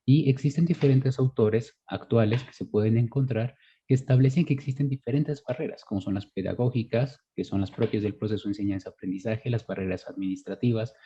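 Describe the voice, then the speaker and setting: A man's voice: mid-range voice, a little fast, warm tone, a little hiss or hum. A man giving a formal academic presentation over a muffled video call. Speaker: conference presenter. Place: online video conference.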